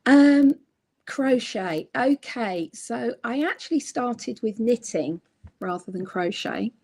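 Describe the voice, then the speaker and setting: Lady, croaky from a cold, takes her time, lots of ums. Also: fairly high pitch, animated, warm tone. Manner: slow, reflective storytelling with many ums. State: hoarse from a cold. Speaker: guest crochet designer and author. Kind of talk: casual chat on a livestream.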